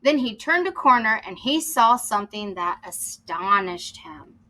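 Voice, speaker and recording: A woman's voice: mid-range voice, animated, unhurried, bright, forceful tone. A woman reading a children's story aloud expressively, as a teacher would, explaining to young listeners as she goes.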